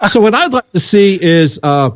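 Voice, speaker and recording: A man joining talk radio chat, halting and repeating words as he talks. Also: high-pitched, lively intonation, no room sound, clean studio sound.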